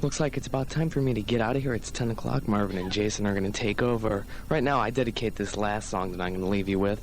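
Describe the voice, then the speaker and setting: A man's voice: on the low side, quick pace. A young American man hosting a 1980s college radio show, talking informally and chattily as a DJ. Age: young adult. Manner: chatty, informal, often says "you know". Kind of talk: 1980s radio show patter, introducing songs. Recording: noisy 1980s radio air-check tape.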